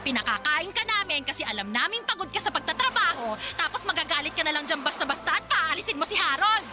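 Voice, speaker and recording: Radio drama mother: middle-aged, defensive, sarcastic, high-pitched and very animated. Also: fast talker. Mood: indignant scolding. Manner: scolding, sarcastic, melodramatic. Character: brash, defensive middle-aged mother smitten with younger boyfriend. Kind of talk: Tagalog radio drama acting. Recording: loud music bed behind the dialogue.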